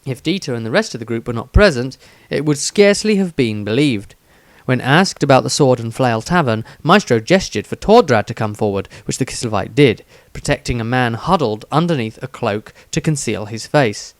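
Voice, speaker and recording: A man's voice: medium pitch, lively intonation, moderate pace, dry recording. Man narrating fantasy, dry close sound. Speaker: narrator, author reading aloud. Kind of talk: scripted fantasy story narration.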